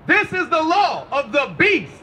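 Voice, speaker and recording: A man reading Bible verses aloud in a loud, declamatory shout with drawn-out phrases. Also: very high-pitched, big pitch swings, a little hiss or hum. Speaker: scripture reader for a preacher.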